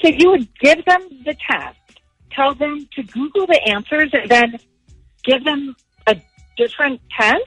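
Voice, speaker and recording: A woman's voice: high-pitched, unhurried, a bit bright, no echo, soft background music. A woman in her thirties chatting casually as a parent on a phone call, with a questioning manner. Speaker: parent receiving a prank call.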